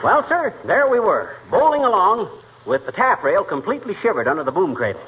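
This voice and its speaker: A man's voice: sits high, very animated, brisk. A man playing a boastful middle-aged husband who rambles through folksy tall sailing yarns in 1940s radio comedy style. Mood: blustering, boastful. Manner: blustering, rambling, interrupts with folksy grammar. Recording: muffled, hissy old radio broadcast.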